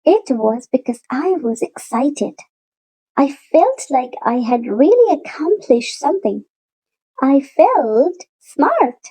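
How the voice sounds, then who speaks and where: A woman reading a children's picture book aloud in an expressive storytelling manner, with deliberate, drawn-out emphasis. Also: high voice, rough recording. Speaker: storybook read-aloud narrator.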